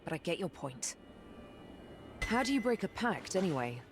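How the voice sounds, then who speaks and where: Stern bitter soldier woman from a fantasy game, low voice, terse, music behind. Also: big pitch swings, moderate pace. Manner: terse, solemn. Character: stern, bitter, determined warrior woman, former Republican soldier. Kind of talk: video game voice acting.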